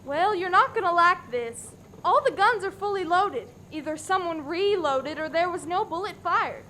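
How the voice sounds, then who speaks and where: A woman's voice: very high voice, expressive, normal speed, pushed and bright, a little hiss or hum, full character voice. A woman acting as a flippant, giggly female suspect with a gleeful streak in a comedic radio play. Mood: matter-of-fact.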